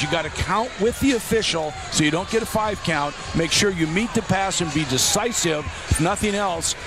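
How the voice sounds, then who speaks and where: A man giving live basketball analysis in an instructive, coach-like way, as on a courtside broadcast. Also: a little high. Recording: noisy live arena broadcast.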